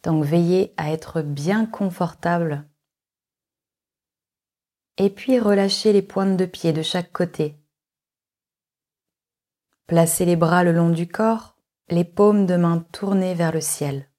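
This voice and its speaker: Very slow, mellow woman guiding relaxation. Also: on the low side. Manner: calm, measured instructions with long pauses. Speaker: yoga nidra instructor. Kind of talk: guided relaxation meditation.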